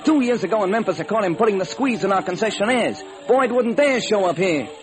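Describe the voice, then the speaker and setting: A man's voice: high voice, lively intonation, fairly quick, soft background music. A man playing a firm, protective circus manager in an old-time radio crime drama. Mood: firm, indignant. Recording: noisy vintage radio recording.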